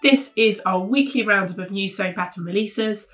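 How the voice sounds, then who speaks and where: A woman hosting a weekly sewing pattern roundup, chatting casually to camera in a husky, congested voice because of a cold, full of enthusiasm and frequent ums. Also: mid-range voice, normal speed, a bit bright, soft background music.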